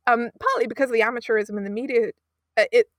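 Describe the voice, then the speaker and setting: High-voiced, effusive woman in conversation. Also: steady tone, fast, dry recording. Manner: effusive, emphatic, digressive. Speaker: guest, audio drama producer. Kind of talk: enthusiastic interview conversation.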